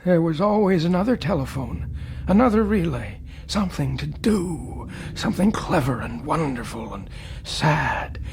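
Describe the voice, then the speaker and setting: An actor playing a lonely, weary old man in a radio play, brooding and agitated in a halting monologue. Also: fairly high pitch, expressive, vocal fry, slight background noise. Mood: brooding, wistful. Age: older. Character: lonely, weary, haunted old man, last one left. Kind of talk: dramatic radio play acting.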